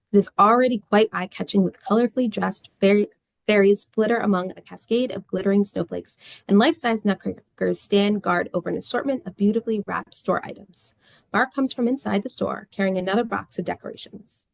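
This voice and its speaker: A woman's voice: medium pitch, brisk, creaky, dry recording. A woman reading scene descriptions and stage directions as the narrator of a script.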